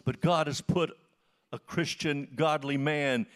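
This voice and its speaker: An American man in his seventies preaching in short, earnest phrases with emphatic repetition, pausing for an interpreter. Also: on the high side, steady tone. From United States. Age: 70s. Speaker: missionary preacher, guest speaker.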